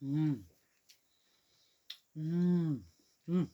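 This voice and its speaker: A woman from Switzerland chatting gently to young children and reading them a picture book aloud. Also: sits low, steady tone, very slow, lo-fi sound. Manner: gentle, chatty, talks directly to young listeners. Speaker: children's storyteller.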